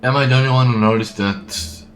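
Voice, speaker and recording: Female game streamer, extremely low pitch, casual commentary with clear game music underneath. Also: steady tone, brisk.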